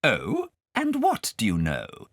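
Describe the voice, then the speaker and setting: A man's voice: lowish voice, wildly swooping pitch, takes it slowly, fairly bright tone, dry recording, studio quality, exaggerated voice. A male narrator voicing a strict, haughty governess with an imperious, scolding manner in a storybook reading. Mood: falsely sweet.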